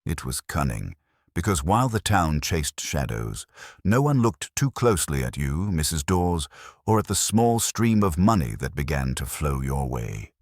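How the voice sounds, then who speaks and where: A man narrating a scripted mystery story in a measured, dramatic, radio-drama storytelling manner. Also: very deep voice, very animated, dry recording, clean studio sound.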